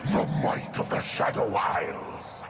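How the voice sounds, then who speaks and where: An actor voicing a menacing, merciless undead spectral horseman commander in a video game, with a gravelly, snarling delivery. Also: low voice, wildly swooping pitch, over loud music, exaggerated voice. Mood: fierce, triumphant. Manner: commanding, menacing, drawn-out threats.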